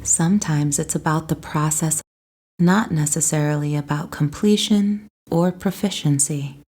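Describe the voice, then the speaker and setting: Woman, guided meditation narrator, slightly low voice, very slow pace, gentle, soothing, scripted delivery.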